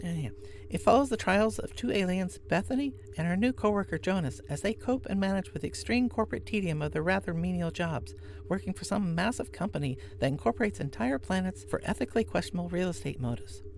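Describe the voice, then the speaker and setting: A woman's voice: fairly low pitch, animated, fast, darkish tone, a little hiss or hum. A woman hosting a podcast who recommends a show in a chatty tone with wry asides. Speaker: podcast host. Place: workplace, on lunch break.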